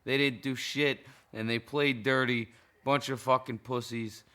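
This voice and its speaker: A tipsy man with a worn-out, cracking voice rants about a football game, swearing often and wandering off track. His tone is bright and forceful, and his voice stays smooth and clear beneath the hoarseness. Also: mid-range voice, fairly even intonation, measured pace. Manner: profane, heated, rambling. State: hoarse, intoxicated. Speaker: sports fan vlogger. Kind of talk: casual game reaction rant.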